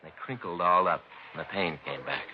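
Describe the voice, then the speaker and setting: Radio play detective's partner, male actor: American, deep-toned, complaining family man, folksy and rambling, muffled old recording. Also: normal speed, close to an everyday voice. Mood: aggrieved. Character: grumbling, put-upon family-man police detective sidekick. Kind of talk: 1950s radio acting, conversational dialogue. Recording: dull vintage radio recording, faint noise.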